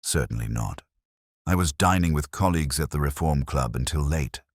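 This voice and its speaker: A man's voice: very deep voice, expressive, moderate pace, no room sound, studio quality. A man voicing a proud young politician in a mystery audiobook, formal, firm and defensive. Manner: courteous, formal, faintly irritated.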